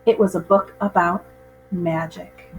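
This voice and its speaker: A woman reading a picture book aloud to children in an expressive, measured storytelling style. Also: lowish voice, muffled recording, soft background music.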